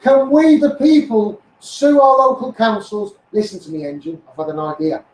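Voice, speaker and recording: High-pitched Scouse man, echoey and distant. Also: sing-song, normal speed. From Liverpool area, England. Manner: insistent, repetitive, addressing viewers directly. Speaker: online campaigner livestreaming. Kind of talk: impassioned rambling livestream rant. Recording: echoey room, distant microphone.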